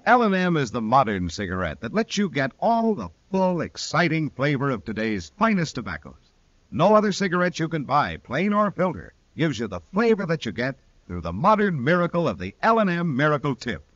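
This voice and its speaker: A man's voice: fairly high pitch, huge pitch swings, brisk, a bit bright, no room sound. A man reading a vintage radio commercial in an emphatic, salesmanlike way. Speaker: commercial announcer. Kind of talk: vintage radio advertisement read. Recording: dull vintage radio recording.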